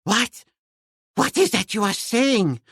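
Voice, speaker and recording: A man puts on a proud, fussy old professor with a husky voice that climbs and dips widely. He speaks slowly and formally, stiff with offended dignity. Storybook reading with a dry, close sound. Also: high voice, big pitch swings, fairly bright tone, no echo, voice pushed up, full character voice. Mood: astonished. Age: older. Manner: formal, indignant, repeats "sir". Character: fussy elderly male professor, proud and flustered. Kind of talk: audiobook character voice.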